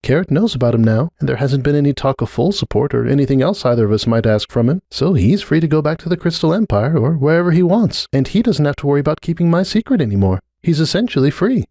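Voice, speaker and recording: Pony mother for storybook drama, played by a man: lifted pitch, lively swings, hesitant, earnest, stubbornly defensive. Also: quick pace, few pauses, no room sound, clean studio sound. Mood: dismissive.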